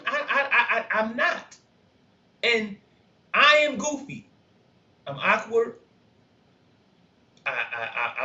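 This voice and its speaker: Man with a high voice and a goofy laugh, podcast cohost getting worked up, echoey room. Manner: animated, laughs often, gets loud when making a point. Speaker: podcast co-host. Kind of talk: casual reaction podcast chat. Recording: roomy, dull lo-fi sound.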